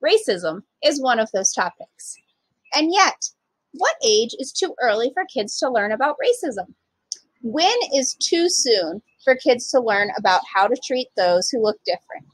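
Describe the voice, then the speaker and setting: A woman's voice: a little high, very animated, unhurried, husky, no echo, low-quality recording. A woman reading aloud to young children as a storytime reader, speaking to them directly in simple, child-directed phrasing.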